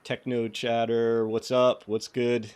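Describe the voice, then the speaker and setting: A man's voice: medium pitch, little pitch movement, slow pace, a little mellow, smooth. A man with a New England accent hosting a casual livestreamed tech tutorial, reading quiz questions aloud and greeting viewers by name. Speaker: host of a cybersecurity lab walkthrough.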